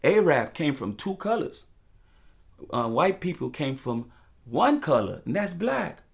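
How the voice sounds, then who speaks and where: A man's voice: fairly high pitch, big pitch swings, a little slow, vocal fry. Impassioned man preaching in street slang. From United States. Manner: preaching, profane, repetitive, emphatic. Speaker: talk show host. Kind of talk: impassioned freestyle monologue with street slang and rhyming phrases.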